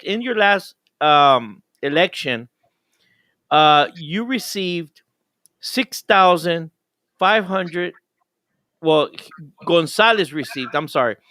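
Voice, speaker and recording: A male radio host who talks haltingly, stumbling and restarting his sentences. His voice is bright and clean, and the recording is dry and close. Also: fairly high pitch, dry recording. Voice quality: halting, many restarts and repeated words. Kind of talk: casual interview.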